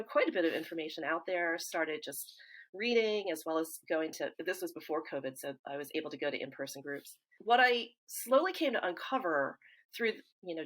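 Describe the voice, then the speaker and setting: A woman from the US East Coast, a guest in an interview, speaking in a reflective, measured way with frequent fillers and restarts. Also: lowish voice, fairly bright tone. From East Coast of the United States. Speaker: interview guest, grief support founder.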